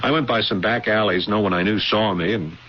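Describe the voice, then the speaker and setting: A man's voice: fairly low pitch, fairly quick, natural voice. An actor playing a guilt-ridden younger man in an old-time radio drama, earnest and hesitant, repeating his words as he goes. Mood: haunted, confessional. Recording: dull, hissy old radio recording.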